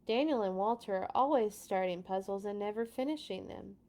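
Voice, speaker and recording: A woman voicing a chatty female party guest in a storybook, resigned about her sons and making slow, sighing small talk. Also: mid-range voice, a little mellow, lightly acted.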